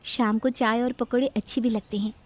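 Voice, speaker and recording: A woman acts as a humble, hardworking young wife in a cartoon morality tale. Her voice is fairly high. She talks gently and politely, with an eager, helpful manner. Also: steady tone, fairly quick, natural voice. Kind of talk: cartoon moral-story voice acting.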